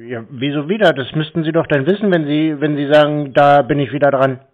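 A man's voice: a little high, a little fast. A man in a phone conversation with cold callers, speaking in a sardonic, confrontational way. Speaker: call recipient confronting scam callers. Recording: muffled, narrow phone-call sound.

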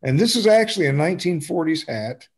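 An older American man chatting casually and self-deprecatingly on a video call, halting and rambling as he goes. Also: fairly high pitch, expressive, slightly rough. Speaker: community theater actor. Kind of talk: casual chat at an online talkback. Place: indoors at home on a video call. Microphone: video call microphone.

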